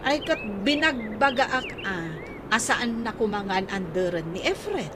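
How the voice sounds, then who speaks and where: Aggrieved young Ilocano Filipino wife, high and very animated, complaining in radio comedy, noisy track. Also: female voice, measured pace.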